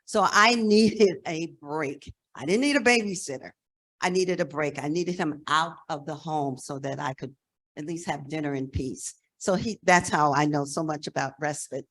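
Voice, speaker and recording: An American woman in her sixties with a slightly low voice speaks as a presenter to a group. She talks frankly and conversationally, stressing her key points with conviction. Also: normal speed, a bit bright. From New Jersey, United States. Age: 60s. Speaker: presenter, nonprofit executive director. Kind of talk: conference presentation.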